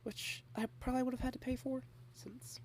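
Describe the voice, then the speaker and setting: A woman speaking softly in a close-mic ASMR girlfriend roleplay, shy and rambling, with halting repeated starts and frequent half-whispers. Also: high-pitched, fairly even intonation, a little hiss or hum. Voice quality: soft, often half-whispered.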